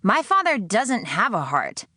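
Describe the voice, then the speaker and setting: A woman's voice: medium pitch, huge pitch swings, measured pace, bright, forceful tone, no room sound, voice pushed up, full character voice. A woman voicing a defiant, weary nineteen-year-old female prisoner with guarded, dry sarcasm in an audiobook. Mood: sarcastic, defiant. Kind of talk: audiobook character acting.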